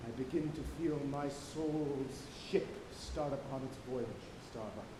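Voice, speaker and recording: A man's voice: unhurried, lightly acted. A man playing an old, obsessed whaling captain on stage, declaiming grandly and commandingly in an echoing auditorium. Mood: grave, brooding. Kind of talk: theatrical stage acting of a literary tragedy. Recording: echoey auditorium, low rumbling noise.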